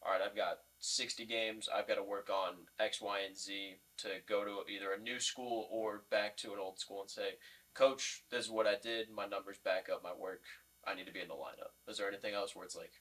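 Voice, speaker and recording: A man's voice: lowish voice, flat delivery, fairly quick, fairly bright tone. A man co-hosting a podcast, asking long, winding questions in a casual way, picked up at a distance from the microphone. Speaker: podcast co-host. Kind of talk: casual interviewing.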